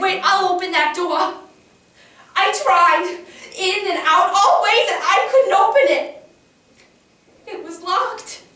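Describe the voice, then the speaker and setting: Woman acting terrified young factory girl, high voice, echoey. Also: a bit bright. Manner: intense, dramatic, halting storytelling. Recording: echoey room, distant mic.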